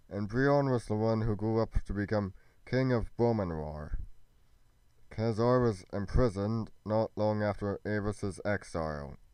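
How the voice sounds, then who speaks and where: A man playing an earnest adventurer in a fantasy roleplay drama, speaking in deliberate, halting, storybook phrases. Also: fairly low pitch, a little mellow, clean tone, no room sound. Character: earnest male adventurer musing over royal secrets. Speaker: voice actor in a fan-made Minecraft roleplay. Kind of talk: scripted dramatic roleplay dialogue.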